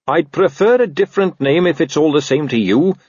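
Audiobook: a man playing a prim young watchman, earnest, lifted pitch, husky, dry dull recording. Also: brisk, a little mellow, no echo. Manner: earnest, proper.

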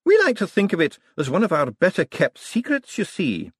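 Man playing a fussy elderly wizard, high-pitched. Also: wildly swooping pitch, normal speed, breathy edge, no room sound, studio quality, exaggerated voice. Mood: conspiratorial, coaxing. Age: older. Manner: fussy, courteous, conspiratorial. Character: elderly male wizard academic, polite, fussy, conspiratorial.

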